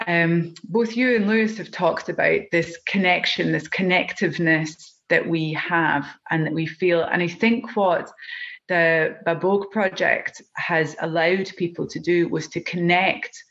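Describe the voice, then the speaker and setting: A woman's voice: fairly low pitch, fairly even intonation, a little slow, fairly bright tone, muffled recording. A Scottish woman speaking earnestly and heartfelt as a podcast guest, with many ums and restarts. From Scotland. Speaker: project organiser guest. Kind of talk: podcast conversation.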